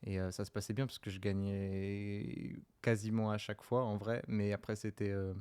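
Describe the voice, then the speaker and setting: A man in his twenties from the Lyon area, a sprinter telling his story in a relaxed, rambling way with lots of fillers. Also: fairly low pitch, normal speed, smooth. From France, Lyon area. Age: 20s. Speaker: sprinter guest. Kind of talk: casual interview chat.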